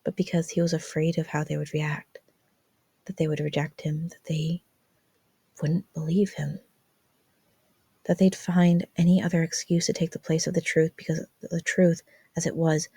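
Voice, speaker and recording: A woman's voice: deep voice, little pitch movement, normal speed, mellow, breathy edge, no room sound. A woman reading fan fiction aloud as scripted, dramatic story narration.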